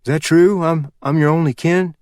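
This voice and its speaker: Man playing young bootlegger, slow-witted, flat, polite, dry sound. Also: fairly high pitch, no echo, pitched above the performer's own voice, close to an everyday voice. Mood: dim. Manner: flat, dim, deferential.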